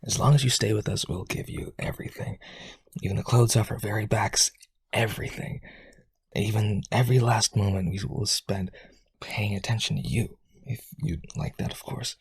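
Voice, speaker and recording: A man playing a powerful, rich vampire aristocrat, desperately devoted, with breathy, raspy, intense and coaxing speech in a roleplay audio. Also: lowish voice, fairly even intonation, no echo, full character voice. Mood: adoring. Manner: intense, coaxing, begging, halting.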